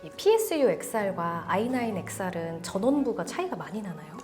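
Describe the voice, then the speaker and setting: A woman's voice: mid-range voice, big pitch swings, fairly quick, a little mellow, soft background music. A woman co-hosting a conversational product talk and asking questions. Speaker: co-host.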